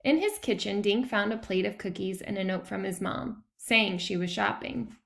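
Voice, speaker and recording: A woman narrates a children's chapter book. Her voice sits at a middle pitch with noticeable creak, and she reads expressively, as a storyteller does for young listeners. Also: moderate pace, fairly bright tone. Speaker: read-aloud narrator.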